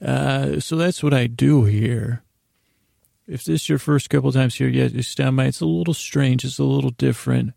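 Gravelly guy doing a bedtime podcast, meandering and halting, mellow tone, dry close sound. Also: mid-range voice, no echo. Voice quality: gravelly, creaky. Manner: meandering, halting, self-interrupting. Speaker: podcast host. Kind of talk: rambling bedtime monologue to help listeners sleep.

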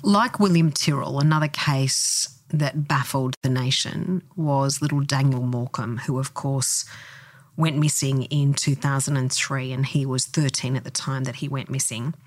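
A podcast host presenting in a measured, scripted style. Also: mid-range voice, steady tone, dry recording.

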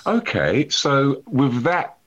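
A man hosting a podcast, reading a formal intro and then interviewing his guest in a measured, attentive way. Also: medium pitch, big pitch swings, a bit bright, dry recording, highs cut off. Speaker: podcast host.

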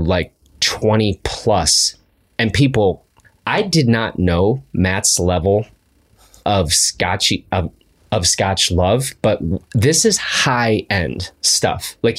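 Very low-voiced man, dry joking host. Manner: dry, joking, self-mocking. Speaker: podcast host. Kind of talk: casual podcast chat.

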